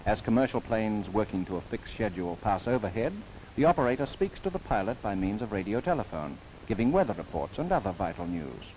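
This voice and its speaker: A man from New Zealand giving formal, measured scripted narration for a 1930s government documentary film, recorded as a studio voice-over. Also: fairly low pitch, sing-song, darkish tone, low-quality recording. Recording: old film soundtrack with faint hiss.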